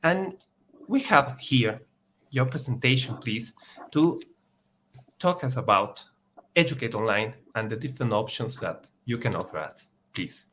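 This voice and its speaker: A man with a mid-range voice hosts an online webinar, speaking haltingly with frequent "uh" pauses. A faint background noise sits under his voice. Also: mid-range voice, animated, a bit bright. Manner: halting, non-native English phrasing. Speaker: webinar host. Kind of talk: hosting a webinar.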